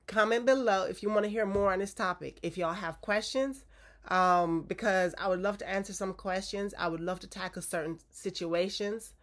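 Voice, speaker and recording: A woman's voice: mid-range voice, fairly even intonation, moderate pace. A woman in her forties, stuffy from a cold, giving direct, coaching relationship advice to her viewers and checking in with a frequent "okay?". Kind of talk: casual advice talk to viewers.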